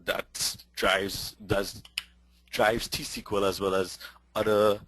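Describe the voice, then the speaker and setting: A man from Trinidad with a Trinidadian accent, giving a technical webinar presentation in a quick, excitable way with many fillers. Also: fairly low pitch, low-quality recording. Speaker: presenter and database lecturer.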